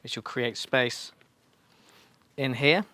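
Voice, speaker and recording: A man's voice: medium pitch, slow, pushed and bright, no room sound. A man giving an informal, practical step-by-step demonstration in a reassuring, instructional way. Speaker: motorcycle shop staff giving a fitting tutorial.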